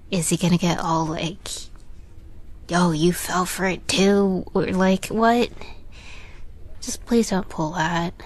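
A woman playing a shy young catgirl roommate in an intimate roleplay audio, halting and nervous with a playful streak, often softening to a near whisper. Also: on the low side.

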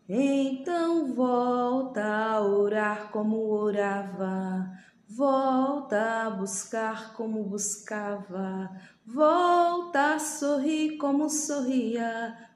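A woman in her thirties from Brazil, giving a devotional sermon to her phone camera, fervent and pleading, often slowing down for emphasis. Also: mid-range voice, a little mellow. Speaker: lay preacher. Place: small room indoors. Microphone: phone camera at arm's length. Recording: echoey room with faint background hum.

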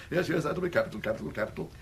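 A woolly old english earl, posh accent, croaky and highish, muttering and stammering, radio play. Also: male voice, expressive, fast, heavily acted. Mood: flustered, dithering. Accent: upper-class English. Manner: stammering, muttering, repeating himself. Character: woolly-minded, dithering elderly English earl. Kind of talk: radio comedy drama acting.

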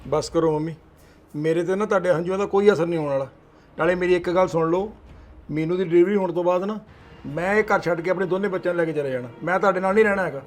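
Actor as a stern angry son, high voice, rapid harsh scolding. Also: male voice, soft background music, lightly acted. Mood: cold. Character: angry grown son and father, cold and stern. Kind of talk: family drama acting.

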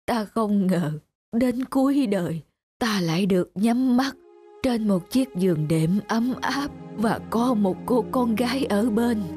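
A woman voicing a frail, dying homeless old woman who speaks weakly and haltingly, for an animated story. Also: on the high side. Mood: frail, tender. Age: older. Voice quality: weak, breathy and halting. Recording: loud background music.

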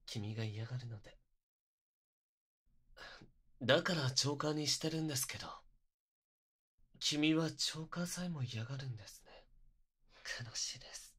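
A young man playing a polite, unstable, possessive yandere captor in an intimate ASMR roleplay, speaking softly and breathily into a close binaural microphone. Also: medium pitch. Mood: gentle, controlling, then hurt, possessive. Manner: soft, breathy, polite speech, often whispering. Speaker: voice actor in situation audio.

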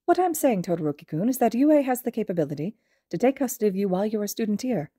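A woman's voice: medium pitch, big pitch swings, very fast, mellow, husky, no room sound, studio quality, pitched above the performer's own voice. A woman voicing a clever, courteous small animal school principal in a fiction reading, speaking formally and reassuringly.

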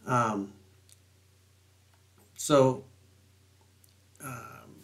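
A man's voice: medium pitch, drawn-out words, vocal fry, fairly bright tone. A middle-aged man reading and explaining building code sections during a screen-share walkthrough, with lots of ums and restarts. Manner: rambling, filler-heavy reading of code text. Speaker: building design consultant.

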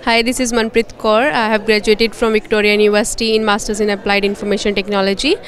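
A woman's voice: medium pitch, little pitch movement, fast talker. A young woman, a new graduate, giving a thank-you message into a handheld microphone. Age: young adult. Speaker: graduating master's student.

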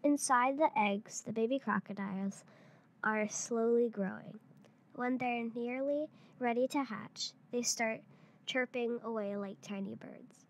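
A girl of about eight or nine, a third-grade pupil, reading a picture book aloud in a halting way. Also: fairly high pitch. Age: child, around eight or nine.

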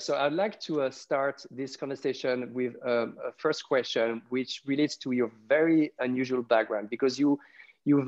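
A man in his forties hosting an interview webcast in a halting, deliberate way, over a video call. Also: medium pitch. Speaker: webcast host. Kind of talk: conversational interview with a prepared introduction. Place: small furnished room at home. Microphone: wireless earbuds on a video call. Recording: dull video-call audio.

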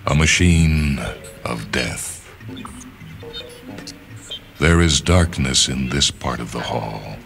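Very deep-voiced man reading a story. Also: creaky, a bit bright. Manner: measured, deliberate, with pauses. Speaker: narrator reading short fiction. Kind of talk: dramatic literary story reading. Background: music.

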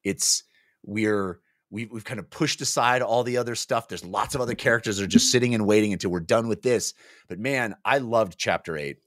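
A man in his forties hosting a book club, chatting casually and enthusiastically, with halting repeated words, on a close studio mic. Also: lowish voice, no echo. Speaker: book club podcast host. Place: small home room, indoors. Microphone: close studio mic on boom arm.

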